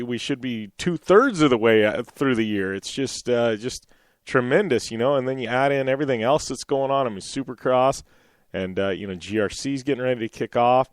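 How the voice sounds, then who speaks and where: A man hosting a radio show in a casual, rambling way, full of "you know" and restarts. His voice is a little raspy from a cold, yet it still comes through smooth and resonant. The sound is close and dry, with no room behind it. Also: medium pitch, no room sound. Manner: rambling, many fillers and restarts. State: slightly raspy from losing his voice.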